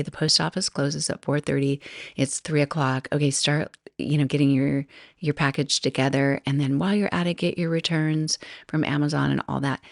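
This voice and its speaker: A woman's voice: low voice, no echo, clean studio sound. A middle-aged woman hosting a solo podcast, chatting casually and rambling, often laughing and interrupting herself. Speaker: podcast host.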